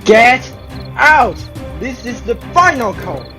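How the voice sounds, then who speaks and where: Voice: medium pitch, sing-song, slow, bright, forceful tone, soft background music, exaggerated voice. A performer playing a cold, hot-tempered young man in an amateur radio drama, blunt and harsh. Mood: furious.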